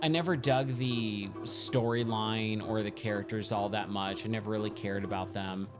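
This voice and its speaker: A hoarse man chatting casually while he streams himself playing pinball, thinking aloud and getting sidetracked mid-sentence. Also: on the low side, fairly even intonation, moderate pace. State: hoarse, scratchy voice. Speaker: game streamer. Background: game music.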